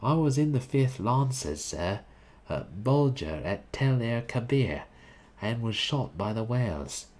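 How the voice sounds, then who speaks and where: A man's voice: mid-range voice, big pitch swings, measured pace, darkish tone, voice pushed up. A man voicing a blunt young Cockney servant who drops his aitches. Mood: uneasy. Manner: dropped aitches, rough working-class speech.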